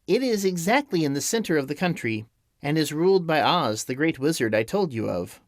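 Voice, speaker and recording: A man voicing a kind little old witch in an audiobook, speaking gently and motherly. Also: fairly high pitch, animated, a little fast, no room sound, clean studio sound, voice pushed up, lightly acted. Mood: gentle. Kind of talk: audiobook character voice.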